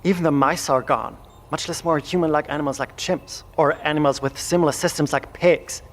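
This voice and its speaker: A man's voice: on the high side, fairly quick, big-room sound, natural voice. An actor playing a wary, skeptical male scientist who pushes back doubtfully in an audio drama.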